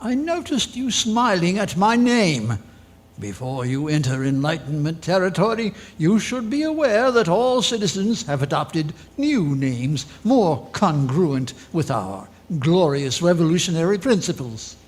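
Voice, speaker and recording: An actor playing a pompous, officious revolutionary port inspector in a stiff, formal manner in radio theatre. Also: male voice, a little high, sing-song, a little slow. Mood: officious, haughty.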